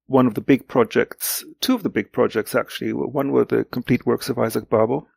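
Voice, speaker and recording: Man in his sixties, native German speaker, creaky voice, dry close sound, hesitant reflective interview talk, emphatic repetitions. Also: medium pitch, steady tone, a little mellow, dry recording. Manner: hesitant, halting, repeats words for emphasis. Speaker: guest, literary translator.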